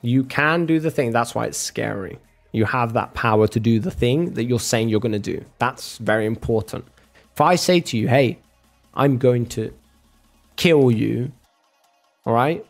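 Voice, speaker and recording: A man's voice: mid-range voice, unhurried, vocal fry, soft background music. A man in a casual argumentative rant, sarcastic and sweary, calling the listener bro. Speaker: streamer arguing with a chat viewer.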